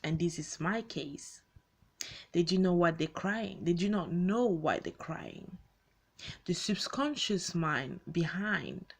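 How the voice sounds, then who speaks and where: A woman's voice: on the low side, gravelly, breathy edge. A woman in her late twenties, from Belgium and born in Congo, talking unscripted to online followers in a conversational way, often rephrasing and posing questions. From born in Congo, grew up in Belgium, moved to the UK as a teenager. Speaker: video host speaking to followers. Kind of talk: unscripted personal talk to an online audience.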